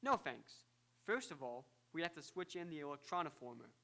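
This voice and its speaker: A man playing an excitable, bright-voiced scientist inventor. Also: on the high side, brisk, vocal fry, slight background noise, full character voice. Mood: self-important, explaining. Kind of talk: old-time radio drama acting. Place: live on an auditorium stage.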